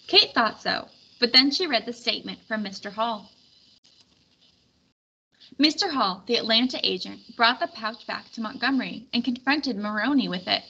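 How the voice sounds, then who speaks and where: Bright-voiced woman reading a storybook, slightly muffled. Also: on the high side. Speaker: read-aloud narrator. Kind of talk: storybook read-aloud, scripted narration. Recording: dull lo-fi recording with background noise.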